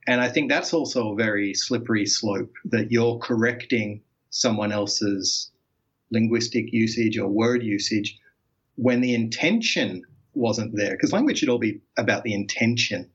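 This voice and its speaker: A man, a researcher, reasoning through points in a casual academic conversation and quick to ask questions. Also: lowish voice, husky. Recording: slightly dull, muffled sound.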